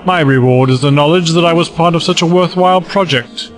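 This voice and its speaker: Man playing a sly, higher-voiced villain. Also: fairly quick, faint music behind, close to an everyday voice. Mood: modest, deferential. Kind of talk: science fiction audio drama acting.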